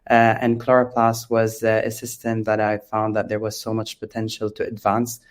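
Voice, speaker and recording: The Lebanese-accented male founder you would hear as a guest on an industry interview, hesitant, low and level in pitch. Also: monotone. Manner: hesitant, halting, with frequent long ums. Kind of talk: explanatory interview answers.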